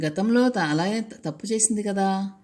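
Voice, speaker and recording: A woman's voice: mid-range voice, moderate pace, darkish tone. A woman telling a children's story, repeating and explaining key words and putting questions to her young listeners.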